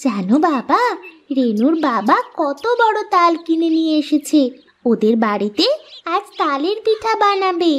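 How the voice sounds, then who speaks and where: A performer voices a young village girl in a cartoon fairy tale. She is sweet and eager. The voice sits extremely high and moves with lively swings. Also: measured pace. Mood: pleading, affectionate.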